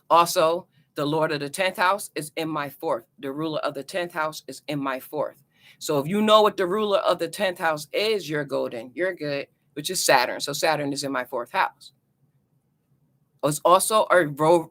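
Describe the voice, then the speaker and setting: Bright-voiced New Jersey woman, chatty. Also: lowish voice. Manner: chatty, loose and repetitive, many "you know" asides. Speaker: astrology vlogger. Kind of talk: casual teaching talk to camera.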